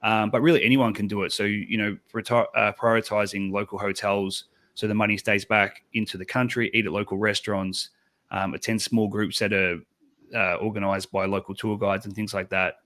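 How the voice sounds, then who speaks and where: A man's voice: lowish voice, little pitch movement, brisk, fairly bright tone. A man speaking as a panel guest on a video call, easygoing and thinking aloud. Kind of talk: casual panel discussion.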